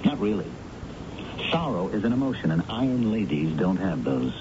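An actor playing a bitter, lovelorn, defeated middle-aged editor with an American accent, theatrical and sardonic, in 1970s radio drama style. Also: male voice, low voice, very animated, moderate pace. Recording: muffled, noisy old radio broadcast recording.

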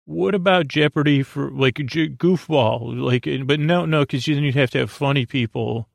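A man delivers a meandering bedtime monologue as a podcast host. His voice has plenty of vocal fry and sits in a very dry recording. He is soothing and whimsical, often halting, tripping over words and drifting off on tangents. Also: mid-range voice, no echo. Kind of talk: rambling bedtime monologue to help listeners sleep.

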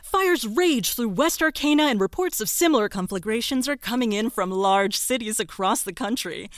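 A woman's voice: fairly high pitch, lively intonation, normal speed, no room sound, lightly acted. A woman playing a formal, urgent radio newsreader delivering a scripted bulletin in an audio drama.

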